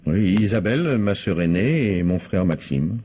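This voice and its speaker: A man's voice: sits low, animated, normal speed, dark tone, slight background noise. An actor playing an anxious, evasive middle-aged bourgeois businessman in a radio mystery, hesitant, stammering and deferential. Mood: defensive. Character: flustered, anxious, evasive 42-year-old bourgeois businessman husband. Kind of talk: radio mystery drama acting.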